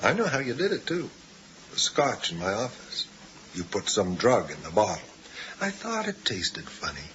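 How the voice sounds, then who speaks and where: An actor playing an agitated, paranoid psychiatric patient in a 1980s radio drama, halting and accusatory. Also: male voice, lowish voice, wildly swooping pitch, fairly bright tone, slight background noise. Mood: suspicious, accusing. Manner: halting, accusatory, swinging into frantic outbursts. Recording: dull 1980s radio recording, music underneath.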